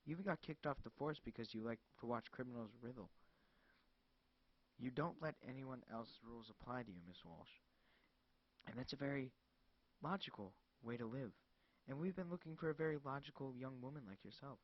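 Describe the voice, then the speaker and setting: A man playing a calm, smug and menacing male caller who speaks politely, slowly and as if smiling. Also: mid-range voice, close to an everyday voice. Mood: calm menace. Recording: dull lo-fi dry recording.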